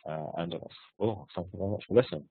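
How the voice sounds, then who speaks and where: A man with a hoarse voice from hay fever, presenting research and answering questions on a webinar, with many hesitant restarts. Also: low voice, very fast, no echo. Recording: tinny phone-like webinar audio.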